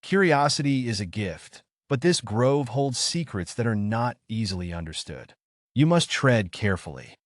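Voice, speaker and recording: A man voicing a wise, kindly old forest guardian in a bedtime story, gentle and solemn in his measured delivery. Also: mid-range voice, sing-song, no room sound, clean studio sound, close to an everyday voice. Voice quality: soft, gentle.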